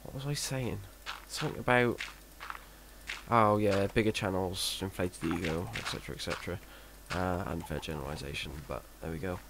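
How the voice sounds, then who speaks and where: A deep-voiced man talks over his gameplay in a flat monotone, with a gravelly rasp at the ends of his words. He wanders off track and puts himself down as he goes, and a steady hiss of noise sits under the recording. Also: normal speed. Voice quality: monotone, self-described. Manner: rambling, hesitant, self-deprecating. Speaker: Minecraft let's player. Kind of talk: casual gameplay commentary. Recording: noisy home recording.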